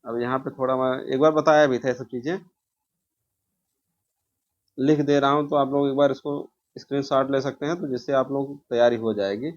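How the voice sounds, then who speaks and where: A man's voice: medium pitch, steady tone, normal speed. A man giving an informal exam-prep lesson, explaining by asking questions and answering them himself. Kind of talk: informal lesson walking through quiz questions. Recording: dull, muffled lo-fi sound.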